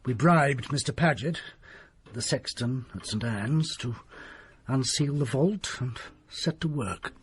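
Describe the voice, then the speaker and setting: An actor playing a secretive country doctor who tells a grim tale in a grave, hushed manner. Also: male voice, medium pitch, slow, slightly rough. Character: desperate, secretive middle-aged male country doctor. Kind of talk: period radio drama acting.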